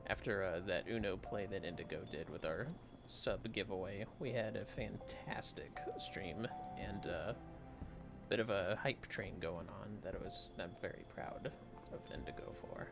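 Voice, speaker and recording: A man hosting a game livestream, giving casual, rambling commentary in a soft, hushed voice. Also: low voice, unhurried, gravelly. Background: music.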